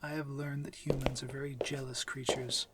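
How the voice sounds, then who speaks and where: A man playing a courteous, commanding vampire lord in an intimate ASMR roleplay, speaking formally in a measured, breathy, near-whispered voice. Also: medium pitch, level tone, very noisy, natural voice. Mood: measured, reserved. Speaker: audio roleplay voice actor.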